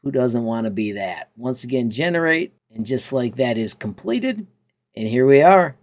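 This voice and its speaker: An enthusiastic man hosts a casual software walkthrough. His voice sits at a middle pitch, and he talks through each step in an upbeat, informal way. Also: sing-song, normal speed. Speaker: tutorial host. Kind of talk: casual software tutorial narration.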